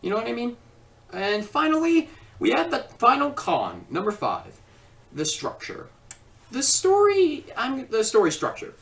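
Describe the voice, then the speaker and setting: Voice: mid-range voice, wildly swooping pitch, measured pace, gravelly, fairly bright tone, far from the mic. A video review host speaking casually in a rambling way, often repeating and stumbling over phrases.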